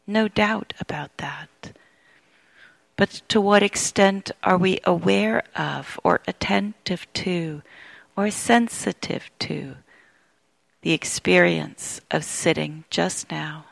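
A woman leads a guided meditation at a very slow pace, leaving long pauses between phrases. Her voice is medium in pitch with a noticeable creak, and the sound is close and dry. She gives each instruction gently, as a teacher would. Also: dry recording.

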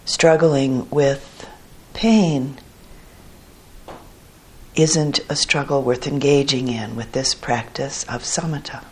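A woman teaching a guided meditation in a gentle, measured manner with pauses between short phrases and a low, husky voice. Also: steady tone.

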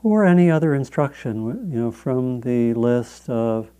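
A man leads a guided meditation in a soft-edged, relaxed voice of medium pitch. He speaks very slowly and gently, leaving long pauses between short phrases as he gives instructions as a teacher. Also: clear, resonant tone.

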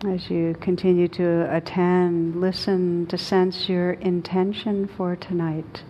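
A woman leading a guided meditation as a teacher, speaking gently and soothingly, unhurried, with long pauses. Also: fairly low pitch, level tone, muffled recording, slight background noise.

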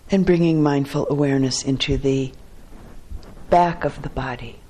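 A woman teaching guided meditation in a calm, measured way, with short phrases and long pauses between them. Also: extremely low pitch.

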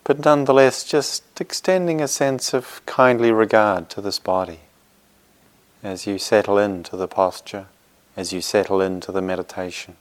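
Smooth, low-voiced man guiding a meditation. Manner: gentle, reassuring, unhurried pauses between phrases. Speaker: meditation teacher. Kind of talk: guided meditation.

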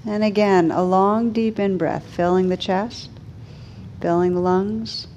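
A woman meditation teacher leading a guided meditation in a gentle, soothing manner, with unhurried pauses between short phrases. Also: medium pitch, fairly even intonation, lo-fi sound, slight background noise.

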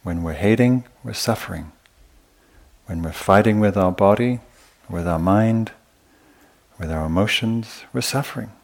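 Slow, low-voiced man guiding meditation. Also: creaky. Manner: gentle, unhurried, pausing often between short phrases. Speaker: meditation teacher. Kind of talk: guided meditation talk at a retreat.

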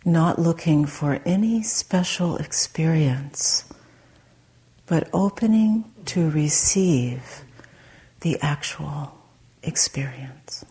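Low, very slow, soft-spoken woman meditation guide. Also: lively intonation. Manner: soft, breathy, gentle delivery with long pauses. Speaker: meditation teacher.

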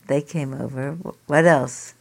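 An older woman teaching a group, asking many quick questions in a chatty way. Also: a bass voice, fairly even intonation, gravelly. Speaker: meditation teacher leading a group. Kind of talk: informal group discussion.

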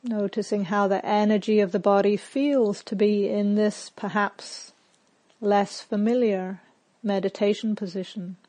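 A woman meditation teacher leading a guided meditation in short, measured phrases with long pauses. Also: mid-range voice, steady tone, warm tone.

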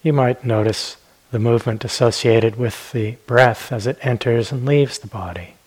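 Husky-voiced man guiding meditation. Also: mid-range voice, poor audio. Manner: gentle, unhurried, with long pauses. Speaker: meditation teacher. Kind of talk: guided meditation instruction.

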